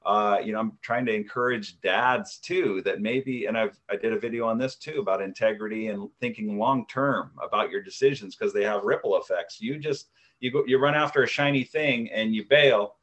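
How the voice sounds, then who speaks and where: A man's voice: lowish voice, normal speed, a bit bright, rough recording. Rambling, modest man in his fifties. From United States. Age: 50s. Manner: rambling, self-deprecating, many fillers and restarts. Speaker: guest, author and video creator. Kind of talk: conversational interview answers.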